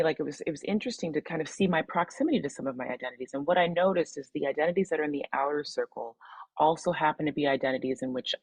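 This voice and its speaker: A woman from the Midwest leads an online workshop, talking participants step by step through a reflection exercise. Her voice sits low and has a slightly husky edge. The sound is dry and close, like a video call. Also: dry recording, rough recording. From Midwestern US, Michigan. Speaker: webinar presenter.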